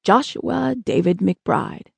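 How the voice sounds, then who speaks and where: Woman voicing a slow, dignified spaceman leader. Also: medium pitch, lively intonation, vocal fry, a little mellow, slightly rough, dry recording, exaggerated voice. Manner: slow, formal, polite. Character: dignified, kindly, proud spaceman leader, formal and unhurried.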